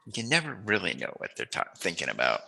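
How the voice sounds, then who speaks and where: A man's voice: sits low, wildly swooping pitch, fast, gravelly, pushed and bright, slightly rough, poor audio. A man hosting a casual chat interview, talking in a playful, jokey, musing way. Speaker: interviewer, host.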